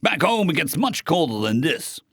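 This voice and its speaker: A man's voice: on the high side, sing-song, moderate pace, fairly bright tone, slightly rough, dry recording, clean studio sound, voice pushed up, exaggerated voice. A man voicing a stoic, modest male crash survivor in a sci-fi story, speaking in an offhand, understated way.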